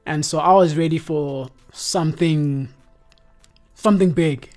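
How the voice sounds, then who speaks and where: A man's voice: a little high, measured pace, darkish tone. A man in his twenties with a South African accent, hosting a casual music review chat in laid-back, slangy speech. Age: 20s. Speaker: podcast host.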